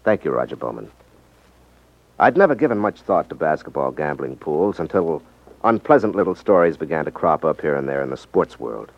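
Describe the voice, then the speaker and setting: An American actor playing a cool, shrewd, world-weary detective in a 1950s radio drama. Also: male voice, a bass voice, very animated, brisk, exaggerated voice. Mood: wry narrating. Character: cool, shrewd male ex-magician rackets detective. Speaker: radio drama lead actor, narrating and in scenes. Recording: muffled, noisy 1950s radio recording.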